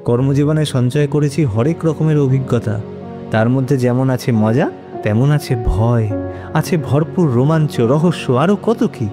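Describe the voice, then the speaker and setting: An actor playing a kindly retired grandfather who tells stories of his past in an indulgent, unhurried manner for an animated series. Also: medium pitch, lightly acted. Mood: reflective. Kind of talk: animated audio story narration. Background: background music.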